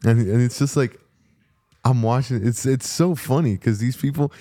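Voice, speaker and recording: A man's voice: medium pitch, moderate pace. A man in a casual chat, telling stories in slangy speech and acting out exchanges as a podcast co-host. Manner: animated storytelling, acting out quoted exchanges.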